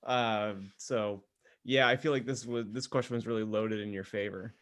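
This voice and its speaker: A man's voice: lowish voice, steady tone, moderate pace, a bit bright. A man co-hosting a podcast in casual, joking conversation. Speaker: podcast co-host.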